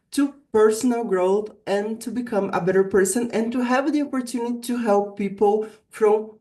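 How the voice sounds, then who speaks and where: A Brazilian woman whose first language is Portuguese speaks as a guest presenter and teacher. Her voice sits at a medium pitch. She delivers a prepared talk earnestly and deliberately, stressing key phrases with a Brazilian accent. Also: warm tone. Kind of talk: prepared virtual summit presentation.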